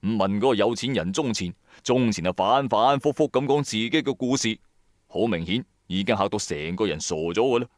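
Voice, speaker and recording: A man's voice: on the low side, lively intonation, fairly quick, no room sound, studio quality. A man with a Cantonese accent narrates a first-person ghost story in a colloquial, dramatic, suspenseful way. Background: music.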